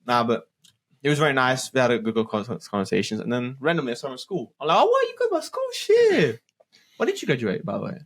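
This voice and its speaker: A man's voice: medium pitch, wildly swooping pitch, quick pace, a bit bright. A young man from Australia, a podcast co-host, joking and rambling in casual slangy chat. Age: young adult.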